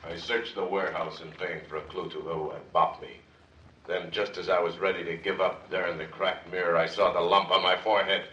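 Deep, echoing hard-boiled detective. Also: male voice, level tone, brisk, sharp tone, dull audio. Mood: suspenseful. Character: hard-boiled, melodramatic male detective narrating his adventure. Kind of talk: parody noir narration, old radio drama. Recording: echoey, distant, faintly noisy old recording.

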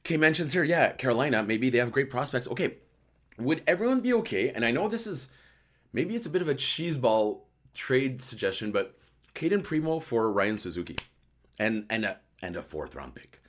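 A man with a hoarse voice hosting a casual live sports chat show, joking and thinking aloud as he answers viewers. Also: medium pitch, sing-song, moderate pace, fairly bright tone. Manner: joking, conversational, thinking aloud with repeated words. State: hoarse voice after a night outdoors. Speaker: host of a hockey post-game recap show.

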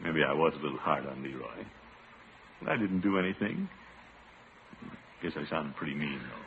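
Blustery uncle on old, muffled radio. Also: male voice, extremely low pitch, expressive, moderate pace. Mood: soft, regretful. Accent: American. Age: middle-aged. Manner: blustering, theatrical, indulgent. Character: blustery, soft-hearted middle-aged uncle, pompous and exasperated. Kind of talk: classic radio comedy acting. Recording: muffled, noisy vintage radio recording.

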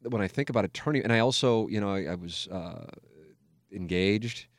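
A man's voice: on the low side, moderate pace, no room sound, studio quality. An American man in his forties talking candidly and casually as a radio host, rambling, self-deprecating and often swearing. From United States, Missouri. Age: 40s. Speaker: radio talk show host. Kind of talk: candid reflective casual talk.

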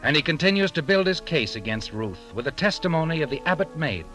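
An actor narrating an old-time radio thriller in a suspenseful, pointed manner, addressing the listener directly. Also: male voice, fairly high pitch, sing-song, fast, sharp tone. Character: second-person radio narrator, insistent voice addressing Joseph. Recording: old radio recording with music behind.

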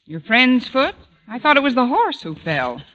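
An actress playing a wry high school teacher who tosses off dry sarcastic quips in a 1940s radio sitcom. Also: female voice, sing-song, normal speed. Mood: wry, obliging. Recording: old radio broadcast, dull, faint noise.

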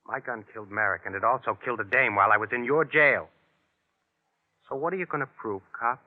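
An actor playing a cocky, defiant murder suspect in an old-time radio crime drama, with insolent, slangy delivery. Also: male voice, mid-range voice, a little fast, lightly acted. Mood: insistent, defiant. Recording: tinny, narrow-band old radio recording.

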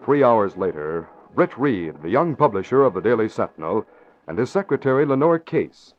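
A man's voice: lowish voice, sing-song, normal speed, faint music behind. Emphatic old-time radio announcer man. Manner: emphatic, declamatory. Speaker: announcer and narrator. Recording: muffled old radio broadcast.

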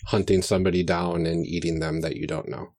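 A woman's voice: a bass voice, little pitch movement, fairly quick, darkish tone, no echo. A woman chatting casually as a player in a tabletop roleplaying game, talking through character ideas. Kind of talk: casual chat about character creation.

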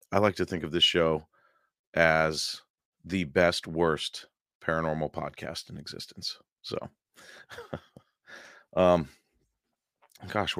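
Hoarse low-voiced male podcast host. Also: a bit bright, no echo, clean studio sound. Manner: rambling, many fillers, chuckling. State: hoarse, losing his voice. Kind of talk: casual livestream chat.

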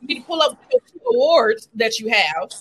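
A woman's voice: a little high, very animated, background noise. A woman hosting a casual interview, asking playful questions and teasing her guest. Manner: playful, teasing, quick to laugh. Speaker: host.

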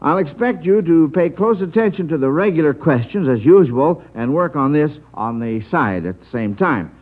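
A man's voice: on the high side, animated, moderate pace. An American man hosting an old-time radio quiz show in a jovial, folksy showman's manner. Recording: dull vintage radio broadcast.

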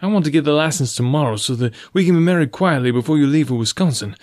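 A man voicing an earnest, formal, pressing gentleman suitor of about forty in an audiobook story. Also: a little high, expressive, no echo, clean studio sound, pitched above the performer's own voice, lightly acted. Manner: deliberate, formal, blunt.

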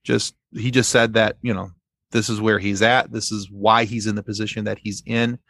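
A man speaking as a podcast guest in casual fan discussion, haltingly repeating phrases as he explains. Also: on the low side, flat delivery, vocal fry, a bit bright, no echo, clean studio sound.

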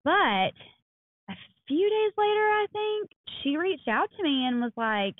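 A woman in her thirties co-hosting a podcast, telling stories in a quick, animated way. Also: high voice, no room sound. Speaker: podcast co-host. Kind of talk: casual chat. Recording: dry, phone-like lo-fi sound.

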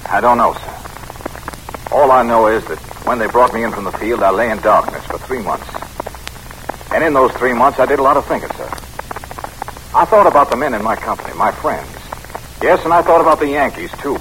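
An actor playing a troubled, proud young captain, stiff and intense, in an old-time radio drama. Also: male voice, medium pitch, expressive, normal speed, long pauses, a bit bright, close to an everyday voice, rough recording. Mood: troubled, searching. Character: wounded young Confederate captain, bitter, proud, troubled, earnest. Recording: old radio recording, faint noise.

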